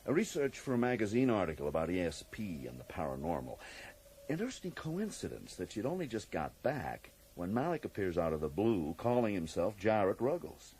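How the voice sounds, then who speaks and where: An actor playing a cagey, drily amused federal agent in a radio drama, speaking with polite, evasive hesitations. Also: male voice, sits low, big pitch swings, brisk, darkish tone, slight background noise. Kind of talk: 1980s radio drama acting.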